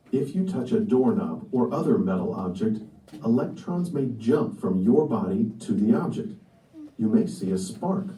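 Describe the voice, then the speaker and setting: Male educational narrator, very dark mellow tone, lively intonation, explaining from a script. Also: fairly low pitch. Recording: echoey playback in room, distant.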